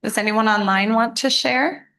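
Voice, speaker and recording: A woman's voice: on the high side, moderate pace, fairly bright tone. Encouraging woman presenter. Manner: encouraging, affirming. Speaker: program presenter and host. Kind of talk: interactive presentation to students.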